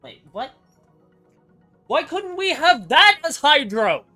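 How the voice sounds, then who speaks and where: Bright-voiced man doing gameplay commentary. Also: extremely high pitch, big pitch swings, slow pace. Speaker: gaming video commentator. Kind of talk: casual gameplay reaction commentary. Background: faint game music.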